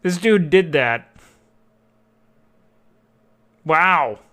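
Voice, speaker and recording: A man's voice: fairly high pitch, animated, slow, sharp tone. A man streaming live, reacting to videos with blunt, profane asides.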